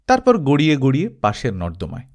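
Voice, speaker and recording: Man narrating with lively, swooping intonation. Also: medium pitch, a little fast. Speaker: audiobook narrator. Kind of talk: expressive literary storytelling, reading a humorous travelogue.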